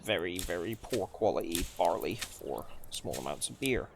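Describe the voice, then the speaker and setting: A man giving casual, subdued gameplay commentary in halting phrases, his voice slightly off from a sore throat. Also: sits low, expressive. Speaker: video game let's player. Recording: clearly noisy background.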